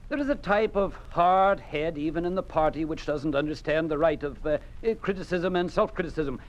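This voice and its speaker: An actor in a 1950s radio drama playing a genial, talkative Communist party secretary with a smooth, persuasive manner. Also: male voice, fairly high pitch, a little fast, close to an everyday voice. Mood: pointed, coaxing. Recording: muffled, noisy old 1950s radio recording.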